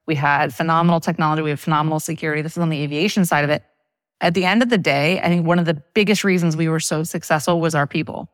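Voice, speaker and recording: An interview host sharing their own business story and asking follow-up questions with quick praise. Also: medium pitch, steady tone, no echo. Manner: enthusiastic, quick to praise and laugh along. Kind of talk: casual interview chat.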